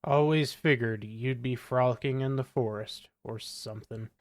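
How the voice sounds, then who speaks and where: A woman's voice: extremely low pitch, normal speed. A woman voicing a wary, streetwise man in a noir story, blunt and casual. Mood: teasing.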